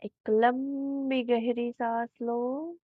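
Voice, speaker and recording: A woman with an Indian accent narrating a guided meditation in a soothing, unhurried manner, leaving long pauses between phrases. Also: a little high, flat delivery, no echo. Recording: thin, phone-like narrow band.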